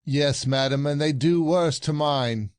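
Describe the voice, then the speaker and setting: An actor playing an aggrieved, scheming husband in a classic stage farce, declaiming formally and indignantly. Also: male voice, a little high, a little slow, clean tone, dry recording, clean studio sound, lightly acted. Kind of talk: classic comedy audio play acting.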